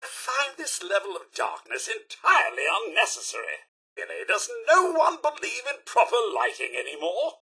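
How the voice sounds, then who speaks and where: High, piercing, fussy British robot butler. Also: male voice, huge pitch swings, normal speed, sharp tone, slightly rough, full character voice. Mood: fussily appalled. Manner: prim, mannered, theatrical. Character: fussy, polite robot butler obsessed with cleanliness. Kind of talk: scripted video game character lines.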